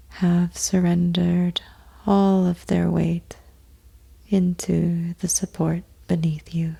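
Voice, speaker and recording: Very slow, breathy woman guiding meditation. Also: fairly low pitch. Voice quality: soft, breathy, husky near-whisper. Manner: gentle, unhurried, long pauses between short phrases. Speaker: yoga nidra meditation teacher. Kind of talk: slow guided meditation, soothing instruction.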